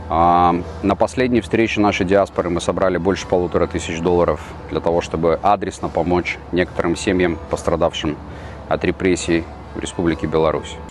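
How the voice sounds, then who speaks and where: A man's voice: low voice, a little hiss or hum. A man from Belarus steadily describing his diaspora community's rallies and fundraising. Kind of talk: short personal testimony.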